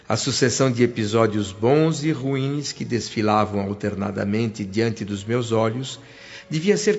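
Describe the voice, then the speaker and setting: A Brazilian actor playing an earnest, wondering man in the spirit world, narrating his own story in a reflective, expressive, literary way for a radio drama. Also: medium pitch, lightly acted. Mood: anxious, reflective. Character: spirit of a deceased husband and father, earnest, wondering newcomer. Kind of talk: radio drama acting and first-person narration. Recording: dull lo-fi radio drama over music.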